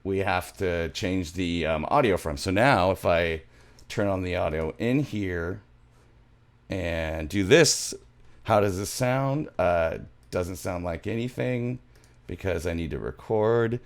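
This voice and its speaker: A middle-aged man with a fairly low voice walks through music software as a tutorial host. He is informal and loose, thinking aloud as he works at a studio microphone in a small home room. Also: slow.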